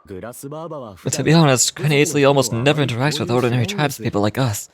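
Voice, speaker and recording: A man's voice: mid-range voice, fast talker, crowd noise, natural voice. A man playing a nervous, apologetic tribesman in a video game, timid and respectful. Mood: awed, earnest. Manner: anxious, apologetic. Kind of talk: game character dialogue.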